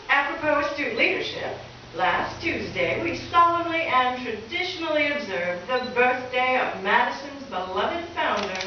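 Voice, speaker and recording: A woman playing a wisecracking high school teacher with a dry, sardonic delivery in an old-time radio comedy. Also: pushed and bright. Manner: dry, sardonic, mock-formal. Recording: echoey stage from far off, dull, hissy.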